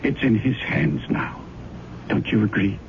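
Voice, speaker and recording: An actor playing a pious, persuasive man in an old radio drama, speaking in a soothing, solemn, measured manner. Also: fairly low pitch, very animated, creaky, heavily acted. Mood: pious, fervent. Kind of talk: 1970s radio drama acting. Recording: muffled, hissy old radio broadcast recording.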